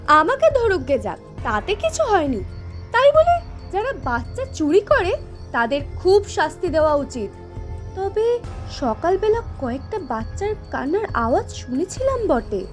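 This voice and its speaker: A woman's voice: very high-pitched, sing-song, a little slow, soft background music, exaggerated voice. A woman playing a bold, cheeky, loyal young girl in an audio story, eager and outspoken. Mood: curious, indignant. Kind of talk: Bengali audio story acting.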